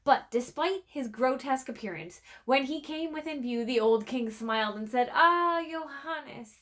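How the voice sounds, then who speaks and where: A woman reading a storybook aloud in an expressive, dramatic way, letting her phrases drop into a hush. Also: a little high, moderate pace. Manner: dramatic, with hushed phrase endings. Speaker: storybook reader. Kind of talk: expressive read-aloud narration.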